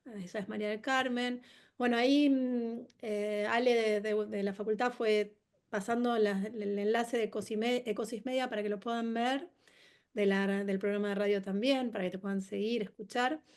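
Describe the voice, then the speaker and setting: A woman hosting a webinar interview, talking in a halting, conversational way with many restarts. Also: on the high side, steady tone. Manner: warm-hearted, halting with many restarts and fillers. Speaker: webinar host.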